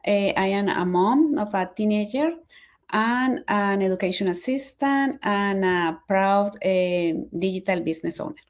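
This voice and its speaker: A woman's voice: mid-range voice, unhurried. A woman from Colombia, a panelist, speaking hesitantly with "eh" fillers.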